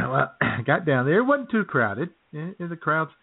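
A man co-hosting a chat show, telling a story in a relaxed way, often chuckling and clearing his throat. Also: fairly high pitch, normal speed. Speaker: podcast co-host. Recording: phone-like lo-fi audio.

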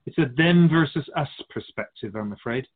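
A man playing a calm, wise otherworldly spirit guide in a radio drama, measured, philosophical and gently teasing. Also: on the high side. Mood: gently reassuring. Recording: muffled phone-like narrow band.

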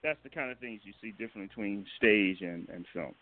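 A man giving casual, halting interview answers as a guest director, explaining things with chuckles along the way. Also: fairly low pitch. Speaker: guest, stage and film director. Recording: narrow phone-line sound.

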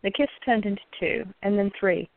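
A woman's voice: medium pitch, moderate pace, gravelly, no room sound. A woman narrating a romantic radio play from a script. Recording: thin phone-like audio.

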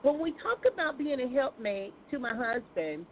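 High-voiced American woman hosting, phone-like sound. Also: very animated, normal speed, slight background noise. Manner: informal, folksy, conversational questioning. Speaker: talk show host taking calls. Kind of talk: casual, chatty live talk show. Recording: thin phone-like audio.

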